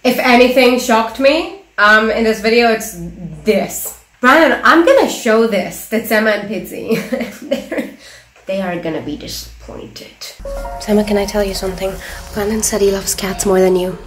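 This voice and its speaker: A woman's voice: mid-range voice, normal speed, fairly bright tone, roomy sound, soft background music. A young woman reacting to a video in casual commentary, teasing and giggly, with sarcastic asides. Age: young adult. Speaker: reaction vlogger. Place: small room at home, indoors.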